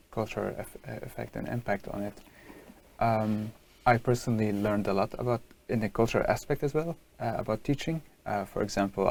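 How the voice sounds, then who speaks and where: A man's voice: on the low side, vocal fry, a little mellow. A young man with a Dutch accent, a student teacher reflecting earnestly on a video call. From Netherlands. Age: young adult. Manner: earnest, halting. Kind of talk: casual reflection.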